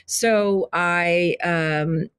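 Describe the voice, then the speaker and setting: A woman's voice: fairly low pitch, a bit bright, clear, resonant tone. A woman whose first language is Arabic, a filmmaker and author speaking as a podcast guest, talking reflectively with many restarts. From grew up in Kentucky, USA. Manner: reflective, halting, many restarts and "you know". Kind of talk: casual interview conversation.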